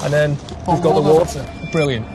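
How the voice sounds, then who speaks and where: A man's voice: fairly high pitch, a little fast. A man working as a contestant with a sales background, talking confidently and assertively. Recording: noisy background.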